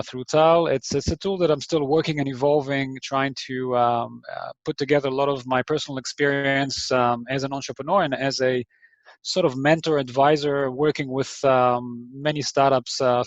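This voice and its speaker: A man's voice: medium pitch, no room sound, highs cut off. A man with an Israeli accent tinged by New Zealand, giving a hesitant, friendly online talk to startups, full of ums and restarts. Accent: Israeli English with some New Zealand influence. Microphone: video call mic.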